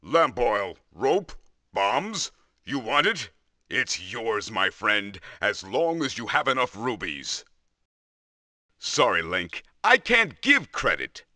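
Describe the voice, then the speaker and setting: A burly, greedy merchant in a cartoon game. He sells hammily in a sharp, forceful voice that swings up and down, slow and savoring. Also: mid-range voice, big pitch swings, no room sound, clean studio sound, heavily acted. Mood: hearty, sly. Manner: hammy salesman patter. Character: burly, greedy shopkeeper, hearty and sly. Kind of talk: cartoon character acting for a video game.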